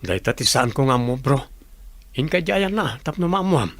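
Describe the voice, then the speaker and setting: An actor playing a casual, teasing construction worker with an Ilocano accent in a radio comedy-drama. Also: male voice, mid-range voice, sing-song, normal speed, fairly bright tone, no room sound. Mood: teasing, grumbling.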